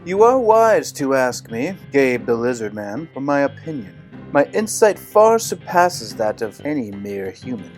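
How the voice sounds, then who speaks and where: A man's voice: medium pitch, big pitch swings, measured pace, few pauses, soft background music. A man playing a self-important human cucumber in a comic audio drama, with lofty, formal, grandiose speech. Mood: lofty, smug. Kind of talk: audio drama comedy acting.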